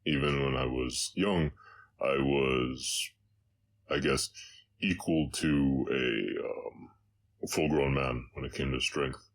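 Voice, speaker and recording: A man playing a gentle, haunted orc cowboy in an intimate audio roleplay, speaking hesitantly with a slight Southern American accent and a very deep voice. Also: heavily acted. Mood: reflective, hesitant. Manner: halting, hesitant, reflective.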